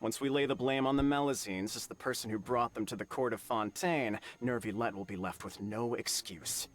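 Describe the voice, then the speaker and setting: A man's voice: mid-range voice, normal speed, close to an everyday voice. A man voicing a confident, scheming political villain in a video game, sneering and dismissive. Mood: smug, scheming.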